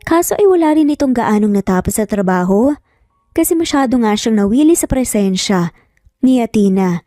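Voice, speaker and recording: A woman's voice: fairly high pitch, lively intonation, moderate pace, dark tone, no room sound, clean studio sound. A Filipino woman reading a scripted romance story as a narrator. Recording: background music under voice. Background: music.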